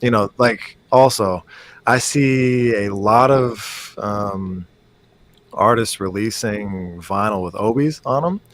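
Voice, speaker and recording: A man's voice: lowish voice, takes it slowly. A man as a guest musician in a casual video call chat, rambling with many fillers and restarts.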